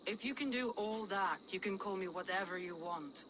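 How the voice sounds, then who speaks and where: A woman playing a resolute Viking warlord, measured and protective of her warriors in a dramatic video game. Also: medium pitch, noisy background, natural voice. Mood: grim, determined. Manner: measured, commanding.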